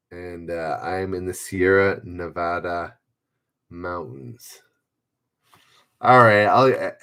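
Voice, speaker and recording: A man's voice: fairly low pitch, a bit bright. A man hosting a trivia livestream, reading clues in the first person and chatting casually with viewers by name.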